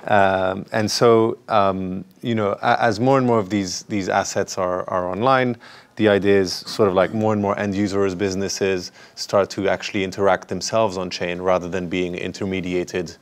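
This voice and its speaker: A man moderating a conference panel, speaking haltingly with many fillers and restarts. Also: sits low, fairly even intonation. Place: conference stage.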